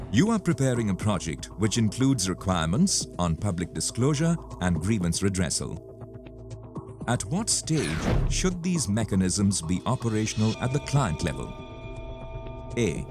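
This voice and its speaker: A man hosting a quiz in a scripted presenting style, reading out questions and answer options in a deliberate, measured way. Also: fairly low pitch. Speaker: quiz show host.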